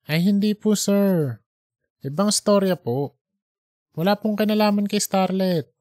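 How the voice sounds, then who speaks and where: A man's voice: high-pitched, measured pace, darkish tone, no echo, voice pushed up. An earnest young male reporter, acted by a male narrator in an audiobook tale. He is apologetic, polite and hesitant as he pleads with a superior, recorded close and dry. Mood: apologetic, pleading.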